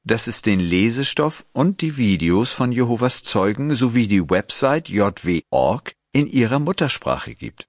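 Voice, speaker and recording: A man reading a magazine article aloud in a measured, scripted way and posing interview questions. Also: mid-range voice, dry recording, clean studio sound. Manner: measured, even, clear read. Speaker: narrator and interviewer.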